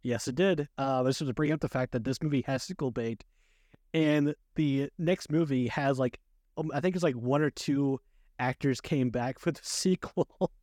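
Guy hosting a chatty film podcast, kinda halting n repeats himself, mid-pitched, dry recording. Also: a little mellow, dry recording. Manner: halting, repetitive delivery. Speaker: podcast host. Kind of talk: casual movie review chat.

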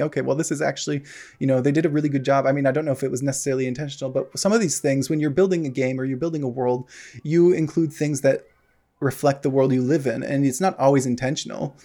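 American guy on a podcast, talks fast, lots of ums and restarts. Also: medium pitch, warm tone. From United States. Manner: rambling, many fillers and restarts. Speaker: podcast co-host. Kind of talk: casual podcast chat.

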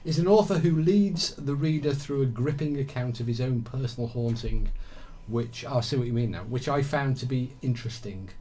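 A man's voice: mid-range voice, normal speed, mellow. Guy hosting local radio in a small studio, kinda flustered, laughy. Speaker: radio host. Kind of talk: casual live radio chat. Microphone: studio microphone.

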